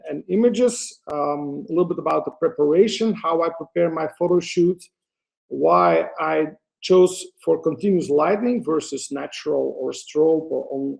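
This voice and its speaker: A middle-aged Belgian man speaks English as a second language, with a Dutch accent. His voice sits a little high and has a gravelly, creaky edge. He presents to an online audience through a computer mic, hesitating and restarting his sentences often. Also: moderate pace, a little mellow, rough recording. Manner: hesitant, frequent fillers and restarts, non-native phrasing. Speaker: photographer presenting a webinar.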